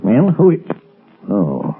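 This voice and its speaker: An actor playing a sinister, mocking middle-aged professor in an old-time radio drama, in a sly, theatrical, mock-genteel manner. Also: male voice, medium pitch, wildly swooping pitch, takes it slowly, breathy edge, heavily acted. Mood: evasive, flustered. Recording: tinny old radio recording, faint music.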